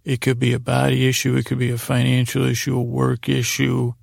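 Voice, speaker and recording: A male podcast host with a heavily fried, gravelly voice, recorded close and dry. He wanders through a bedtime monologue, stammering, doubling back and trailing off as he acts out the voices in his head. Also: medium pitch, completely flat delivery, a little fast, no room sound. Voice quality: gravelly, creaky. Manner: meandering, stammering, repeats and trails off. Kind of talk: rambling bedtime monologue acting out inner voices.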